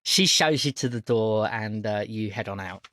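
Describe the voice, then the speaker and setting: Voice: medium pitch, moderate pace, fairly bright tone, no room sound. A game master improvising narration for a tabletop roleplaying game.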